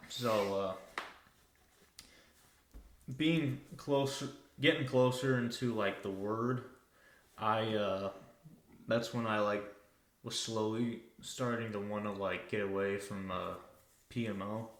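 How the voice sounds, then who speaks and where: A young man of twenty talks slowly and unhurriedly, his voice sitting a bit low. He hesitates and pads his sentences with filler words. The recording has a noticeable room echo. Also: steady tone. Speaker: podcast co-host. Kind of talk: casual podcast chat. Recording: echoey room.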